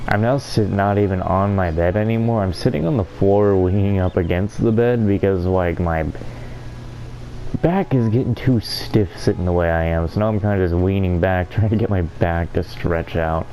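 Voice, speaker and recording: A man's voice: sits low, moderate pace, dark tone, slight background noise, poor audio. A man giving casual playthrough commentary and reading game dialogue aloud in an offhand, dry way. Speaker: gaming video host. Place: at home, sitting on a bedroom floor. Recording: faint game music behind the voice.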